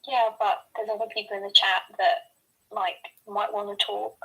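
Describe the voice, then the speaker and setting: A woman's voice: medium pitch, level tone, moderate pace, creaky, a bit bright, slightly rough, poor audio. A woman in a casual online voice chat, speaking hesitantly with many fillers. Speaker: voice chat participant.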